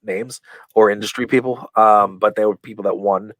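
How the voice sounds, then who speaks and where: A man being interviewed on a podcast, telling stories in a rambling way, full of fillers and restarts, and acting out quoted lines with lively voices. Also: on the low side, normal speed, dry recording. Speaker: guest, professional wrestler. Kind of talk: casual storytelling in a podcast interview.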